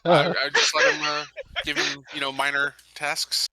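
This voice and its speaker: A man's voice: a little high, level tone, moderate pace, pushed and bright, no echo. A man playing a tabletop roleplaying game, chatting casually and thinking aloud with some hesitation.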